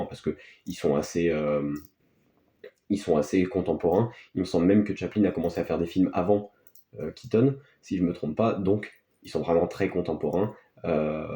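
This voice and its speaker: A man's voice: low-pitched, steady tone, normal speed, warm tone. A man gives casual, unscripted film commentary as a video host, in a hesitant, rambling, offhand way. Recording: echoey, roomy sound.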